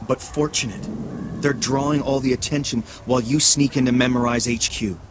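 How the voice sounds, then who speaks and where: A man's voice: medium pitch, fairly even intonation, normal speed, noisy background, natural voice. An actor playing a driven male rebel leader in a video game, giving orders in dramatic, cryptic, poetic phrases. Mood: solemn, persuasive. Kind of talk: video game voice acting, mission briefing.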